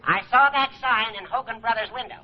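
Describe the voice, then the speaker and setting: An actor playing a crotchety, smug older judge with a thin, reedy, husky voice in 1940s radio comedy style. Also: male voice, very high-pitched, fairly quick, a little hiss or hum. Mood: curt. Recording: muffled old-time radio recording.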